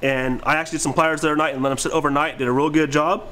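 A man's voice: fairly high pitch, fairly even intonation, racing, fairly bright tone. A man in his thirties giving a casual, plain-spoken product demonstration as a presenter. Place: indoor warehouse aisle lined with stock bins.

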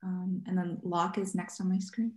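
A woman in her late twenties introduces herself on a webinar. Her voice is a little low, and the room echoes around it on the video call. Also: steady tone, normal speed, a little mellow. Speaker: student panelist.

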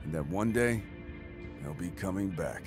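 A man playing a calm, pensive mercenary commander in a sci-fi video game, speaking in a measured, matter-of-fact way. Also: sits low. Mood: calm, thoughtful. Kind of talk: video game cutscene acting. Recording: music under the voice. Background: music.

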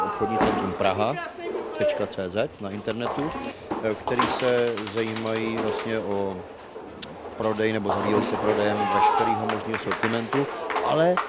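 A man organizing a bowling tournament, talking casually into a handheld mic with relaxed fillers. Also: lowish voice. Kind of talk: casual on-camera bowling chat. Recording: noisy crowded room. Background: loud crowd chatter.

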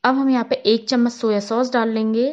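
A woman with a fairly high voice narrates a home cooking tutorial, explaining each step in a calm, instructional way. The voice-over sounds a little dull and lo-fi. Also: brisk. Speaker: cooking channel host. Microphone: voice-over, not on camera.

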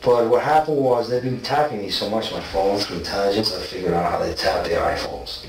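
A man in his forties from South Africa, talking in rambling, rushed bursts while being questioned in a small interrogation room. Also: on the low side, fairly even intonation. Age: 40s. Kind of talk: police interrogation. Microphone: far ceiling camera. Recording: echoey room, distant mic, faint hiss.

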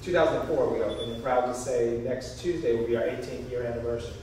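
A man's voice: mid-range voice, fairly even intonation, quick pace, dark tone. A middle-aged man giving a casual, conversational introduction of himself as a candidate. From Oakland, California. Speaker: political candidate and business owner. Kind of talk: informal self-introduction speech. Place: small storefront meeting room, indoors. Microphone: distant camera mic. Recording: echoey room, far camera, noisy.

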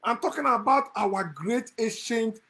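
A man's voice: very high-pitched, measured pace, fairly bright tone, slightly rough. A middle-aged man gives a fiery, scornful monologue to camera from a room indoors, chuckling between his points. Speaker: channel host. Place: indoor room, seated at a desk.

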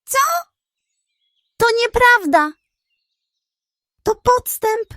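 A woman's voice: extremely high pitch, animated, drawn-out words, pushed and bright, no room sound, studio quality, voice pushed up, exaggerated voice. Woman voicing feisty, vengeful little squirrels. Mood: outraged. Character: indignant, vengeful little female squirrels guarding nuts.

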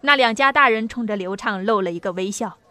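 A woman's voice: mid-range voice, a little fast, pushed and bright, no echo. A woman narrating a novel as an audiobook, reading a dramatic script. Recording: music under the voice.

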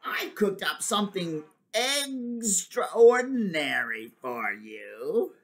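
A reader voicing a scheming egg villain in a slow, sugary, sly voice. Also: medium pitch, big pitch swings, pitched above the performer's own voice, full character voice.

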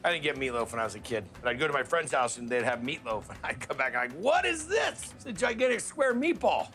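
Lively, bright-voiced male food show host. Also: a little high, fast talker, faint music behind. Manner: energetic, slangy, joking. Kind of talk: upbeat food TV hosting and voice-over. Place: restaurant kitchen.

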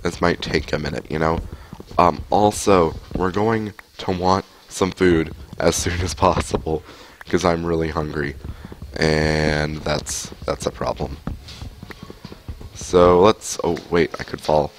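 A young man with a very deep voice talks over his game on a headset mic, stuffy from a cold. He rambles along casually, full of likes and ums, with a faint hiss of noise behind him at home. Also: steady tone, takes it slowly. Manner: rambling, chatty, many fillers. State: has a cold. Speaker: streamer. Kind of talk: casual gameplay commentary. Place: home room, indoors.

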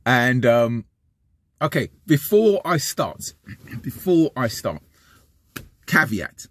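A man's voice: mid-range voice, slow. A man in his fifties with a West London accent, talking casually to camera from inside a van, rambling and restarting his sentences. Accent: West London English. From London, England. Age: 50s. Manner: rambling, repeats and restarts, animated gestures. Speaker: vlogger. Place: inside a parked van, small enclosed space. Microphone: camera close in front of face.

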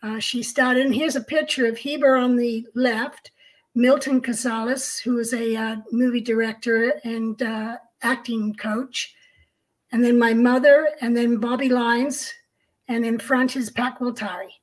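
Middle-aged woman recounting calmly. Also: fairly high pitch, unhurried, poor audio. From Australia. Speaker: co-host. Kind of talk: casual interview chat. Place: home room, indoors. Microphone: video call.

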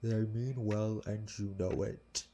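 A man playing a calm, protective orc head of security, speaking in a measured, reassuring way in an audio roleplay. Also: lowish voice, slight background noise. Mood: calm, knowing. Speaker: voice actor. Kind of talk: intimate audio roleplay acting.

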